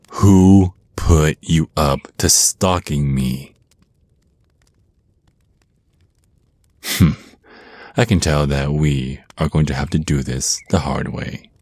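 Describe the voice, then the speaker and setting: Very deep, slow vampire naga voiced by a man. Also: darkish tone, dry recording, exaggerated voice. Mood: menacing, coaxing. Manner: slow, teasing, condescending, threatening endearments. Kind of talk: ASMR roleplay acting.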